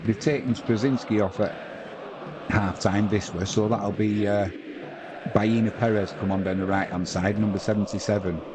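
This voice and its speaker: A man's voice: fairly low pitch, steady tone, moderate pace, noisy background. English man doing casual live football commentary. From England. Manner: conversational play-by-play, reading out viewer comments. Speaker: watch-along commentator. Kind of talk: live match commentary and chat with viewers.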